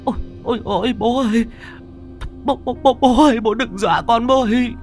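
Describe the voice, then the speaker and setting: A man voicing a terrified, sharp-tongued young village woman in a high, shrill falsetto. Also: expressive, a little slow, faint music behind.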